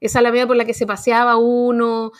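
A Chilean woman in her forties with a Chilean accent, talking casually on a panel, animated and slangy with many fillers and restarts. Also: fairly high pitch, fast. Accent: Chilean Spanish. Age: 40s. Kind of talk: casual radio panel chat.

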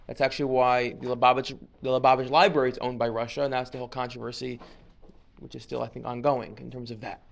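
A man's voice: medium pitch, flat delivery, fast talker, vocal fry. A man giving an informal history lecture, chatty and digressive, often restarting sentences and dropping joking asides.

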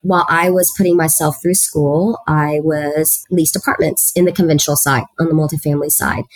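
Low-voiced woman telling her story. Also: steady tone, a little fast, no room sound, poor audio. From South Texas, USA. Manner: earnest, talkative, with frequent "you know" fillers. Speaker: guest, student housing executive. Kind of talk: conversational storytelling.

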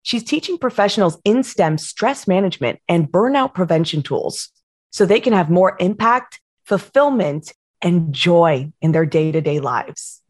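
Low-voiced upbeat podcast host woman. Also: lively intonation, measured pace, a little mellow. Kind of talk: podcast interview.

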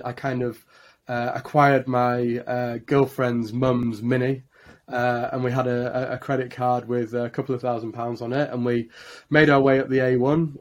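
A man with a Yorkshire accent, a podcast guest telling how he started his business, with many uh and um fillers. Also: mid-range voice. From Yorkshire, England. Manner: many uh and um fillers, flat delivery. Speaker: guest, marketing agency director. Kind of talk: podcast interview storytelling.

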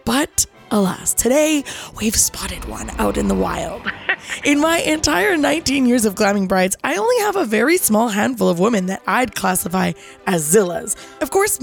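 A middle-aged woman with a raspy voice hosting a podcast, telling stories in a playful, dramatic, salty-tongued way. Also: mid-range voice, very animated, normal speed, soft background music. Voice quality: raspy from overuse. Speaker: podcast host. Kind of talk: animated storytelling to listeners.

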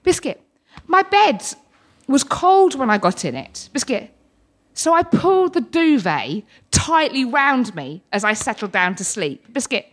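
A woman's voice: high voice, big pitch swings, unhurried. A British woman telling a personal story live, with frequent involuntary vocal tics that interject stray words mid-sentence.

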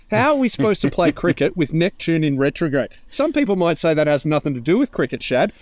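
Co-host: Australian comedian, male, fairly high voice, tongue-in-cheek sports banter. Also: a little mellow, no room sound, clean studio sound. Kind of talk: joking sports chat.

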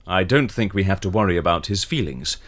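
A man playing an eccentric, witty and excitable fixer in an audio drama, with theatrical, wry delivery. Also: sits low, fairly quick. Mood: wry, negotiating.

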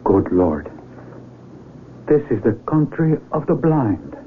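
An actor playing a polite, bewildered young mountaineer in a radio drama, earnest and a little hesitant. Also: male voice, mid-range voice, sing-song, slow. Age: young adult. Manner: hesitant, polite, exclaiming in wonder. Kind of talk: 1970s radio drama acting. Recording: tinny, noisy 1970s AM radio recording.

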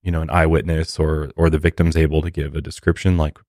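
A man's voice: a bass voice, little pitch movement, quick pace, a little mellow, dry recording, studio quality. A man co-hosting a podcast, giving casual conversational commentary. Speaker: podcast co-host.

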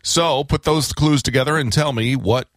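A man's voice: medium pitch, normal speed, dry recording. A man co-hosting a radio show, running a trivia game and chatting casually with his co-host. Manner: lively, easygoing, chatty.

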